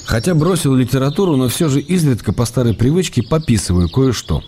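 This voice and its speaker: An actor playing an ailing middle-aged writer in a radio drama, reading his love letters in an intimate, measured, wry way. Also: mid-range voice, expressive, warm tone, slight background noise, lightly acted. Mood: playful, affectionate.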